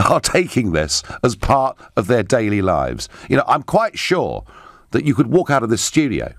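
A middle-aged man hosts a radio phone-in, his fairly low voice swooping widely up and down as he stresses words. He sits close to a studio microphone, and the sound is dry and tight with no room echo. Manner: emphatic, swooping delivery, interjects with questions. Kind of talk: live radio phone-in, reading listener messages. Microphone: studio microphone with headphones.

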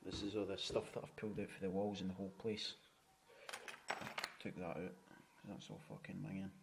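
A man giving a casual walkthrough of a flat he is renovating, chatty and frugal, swearing casually, recorded on a handheld camera indoors. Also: low-pitched, moderate pace, creaky, heavy background noise. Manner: chatty, frugal, swears casually, repeats "you know what I mean". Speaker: home renovator. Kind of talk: walkthrough tour narration. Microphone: handheld camera mic.

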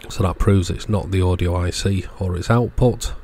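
A man's voice: sits low, steady tone, normal speed, mellow, slight background noise. A middle-aged man narrating casual workbench repairs as a channel host, explaining step by step in short, plain phrases.

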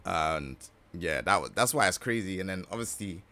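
Young man, gaming reaction, relaxed slangy talk, lively rising and falling voice, low-ish pitch, faint game music behind. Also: normal speed. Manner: laid-back slangy chatter with frequent laughs. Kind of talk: casual reaction commentary.

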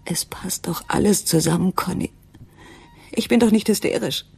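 A woman playing an anguished, frightened wife and mother in a radio drama, speaking haltingly and intimately, at times near a whisper. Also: on the low side, a little mellow, heavily acted. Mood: fearful, urgent. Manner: breathy, halting, dropping into whispers. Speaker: actress in a crime radio play.

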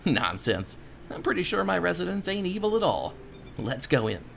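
Man voicing a plucky, animated young game hero. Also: high voice, normal speed, vocal fry, a little hiss or hum, voice pushed up, heavily acted. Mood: proud, defiant. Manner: theatrical, self-satisfied declarations. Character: plucky, boastful young male adventurer-cook in a cartoon game. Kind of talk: game dialogue read aloud as a character.

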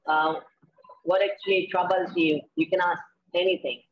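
A man's voice: high voice, fairly even intonation, normal speed, husky, dull audio. A man hosting an online English class on a video call, hesitant and full of fillers. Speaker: teacher and class host.

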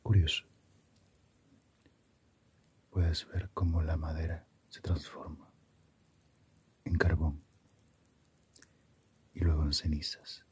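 A man voicing Death as a calm, philosophical figure in a slow ASMR roleplay, hushed, breathy and half-whispered, musing gently. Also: very deep voice, heavily acted. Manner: unhurried, musing, asks reflective questions.

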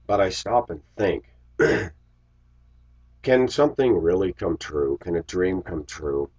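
Deep, husky American man with a cold. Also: slow pace, a little mellow. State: congested, getting over a cold. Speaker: vlogger. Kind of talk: casual confessional monologue to viewers. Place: small indoor room with shelves. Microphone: webcam microphone at desk distance. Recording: dull lo-fi webcam audio.

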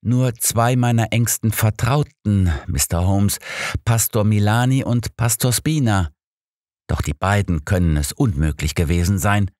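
A man's voice: lowish voice, measured pace, darkish tone, no echo, clean studio sound, voice pushed up, close to an everyday voice. A man voicing a distraught, devout cardinal in an audiobook, speaking formally with grave, pleading earnestness. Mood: earnest, insistent.

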